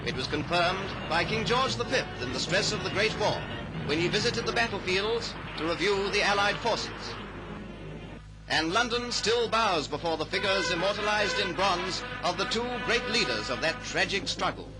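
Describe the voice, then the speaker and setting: A man's voice: sits high, lively intonation, moderate pace, low-quality recording. A man narrating a 1930s newsreel in a grand, declamatory, patriotic manner. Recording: old newsreel soundtrack under loud music. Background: loud music.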